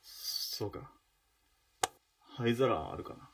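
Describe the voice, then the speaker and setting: A man playing an awkward, evasive former soldier in a radio drama, speaking in a hesitant, halting way. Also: medium pitch, lively intonation, creaky, heavily acted. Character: awkward, evasive male ex-soldier, reluctant bearer of news. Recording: distant from the mic.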